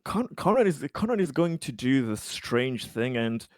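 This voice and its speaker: A man playing a tabletop roleplaying game, talking casually and haltingly, often repeating his words. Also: mid-range voice, sing-song, no room sound, clean studio sound. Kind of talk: casual in-character and out-of-character game talk.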